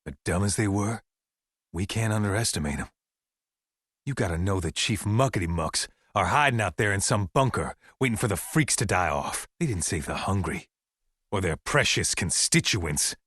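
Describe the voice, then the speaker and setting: An actor playing a paranoid, defiant American survivalist camp leader in a video game, delivering conspiracy monologues in an emphatic, folksy, ominous way with weighty pauses. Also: male voice, low voice, expressive, a bit bright, breathy edge, no echo, clean studio sound, heavily acted. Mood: bitter, scornful.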